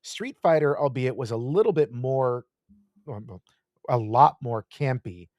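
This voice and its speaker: A man co-hosting a podcast, gushing with animated enthusiasm and swearing often in casual chat. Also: medium pitch, measured pace, no echo, lo-fi sound. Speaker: podcast co-host.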